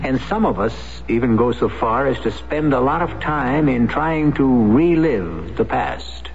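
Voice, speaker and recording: A man hosting a radio mystery show, introducing the episode and narrating in a measured, reflective way. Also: mid-range voice, animated, slight background noise. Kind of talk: formal radio host narration. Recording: lo-fi old radio broadcast.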